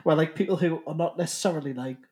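He is a man with a fairly high voice. He talks casually as a podcast guest, circling back over his phrases in a hesitant, repetitive way. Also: quick pace, a little mellow. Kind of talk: casual chat.

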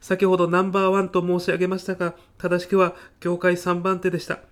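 A man's voice: high voice, monotone, fast talker, fairly bright tone. A man plays a nervous male student in a comedy radio sketch. He speaks with stiff, over-polite earnestness, hesitating as if reciting prepared answers. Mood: eager, apologetic. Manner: stiff, hesitant, rehearsed-sounding polite speech. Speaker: job applicant. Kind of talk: audio comedy sketch acting.

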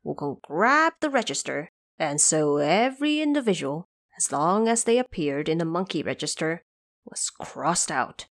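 A woman narrating a scripted audio drama in an expressive, deliberate storytelling manner, with explanatory asides. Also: low voice.

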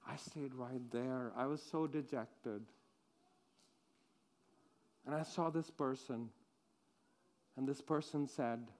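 A man's voice: very high voice, fairly bright tone, voice pushed up, exaggerated voice. A man playing Mary Magdalene as a disciple who mourns and then rejoices, telling it in first person with many repetitions. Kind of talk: dramatic monologue for a congregation.

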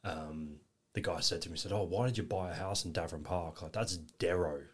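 A man's voice: sits low, steady tone, a little fast, breathy edge. An English-born man, chatting easily as a podcast guest, rambling with lots of fillers. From England. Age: 20s. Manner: easygoing, rambling, lots of "you know". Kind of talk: casual interview chat.

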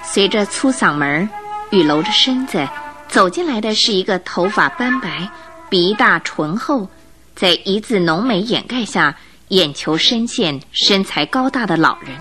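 Female narrator, expressive storytelling, lively melodic range, radio drama, music in the background. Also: medium pitch, unhurried.

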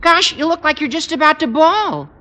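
A man playing a squeaky, cheeky teenage schoolboy with a cracking voice in a 1950s radio sitcom. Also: lively intonation, normal speed, bright, forceful tone, exaggerated voice. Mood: cheeky, concerned. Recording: noisy, reverberant old radio recording.

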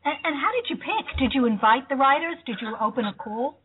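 A woman radio interviewer asks long, winding questions, laughing softly now and then. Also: sits high, animated, brisk, slightly rough. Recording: narrow phone-like line.

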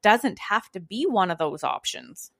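A woman's voice: mid-range voice, very animated, measured pace, fairly bright tone. A woman hosting a casual interview about fitness, speaking earnestly in long run-on lists. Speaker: podcast host and fitness coach.

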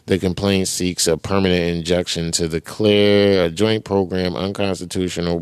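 A man's voice: sits low, clean tone, no echo. A man hosting a podcast, reading news aloud and commenting bluntly in casual slang. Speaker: podcast host.

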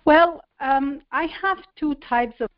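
Woman, earnest gentle guest, dry muffled call audio. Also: high voice, slow pace, no room sound. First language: Spanish. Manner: gentle, earnest, with small laughs. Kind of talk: interview over a call.

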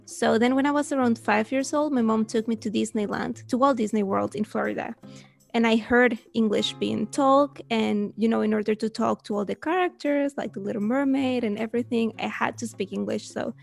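A woman's voice: on the high side, fairly quick, gravelly, darkish tone, soft background music. A young woman from Venezuela, eagerly telling stories as a guest in an interview. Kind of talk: casual interview chat.

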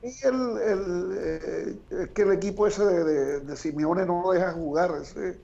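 A high-pitched man, chatting about football on a radio panel. He rambles and trips over his words as he goes. The sound is a little dull and lo-fi. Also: fairly even intonation, a little slow, creaky, dark tone. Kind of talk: casual sports chat.

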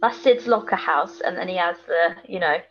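A woman's voice: lowish voice, moderate pace, a bit bright, dull audio, faint music behind. A woman co-hosting a podcast in casual chat, reacting with informal, blunt asides and questions. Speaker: podcast co-host.

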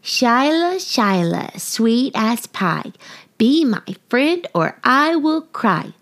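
Storybook little girl, played by a woman: high, lively, affectionate, eager singsong delivery. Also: extremely slow, heavily acted. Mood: proud, singsong.

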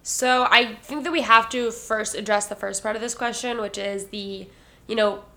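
Stuffy-voiced nasal woman, bright and chatty. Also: fairly high pitch, steady tone, moderate pace, creaky. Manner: chatty, laughs often. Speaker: podcast co-host. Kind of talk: casual chat. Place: lives in New York City.

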